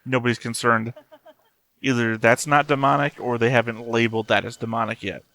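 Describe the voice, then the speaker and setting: A man talks through his reactions in a casual, unscripted way. His voice sits at an ordinary middle pitch, and he is blunt, tossing in swear words as a reaction host. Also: steady tone, a little fast, bright, forceful tone. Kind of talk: casual off-the-cuff commentary while watching.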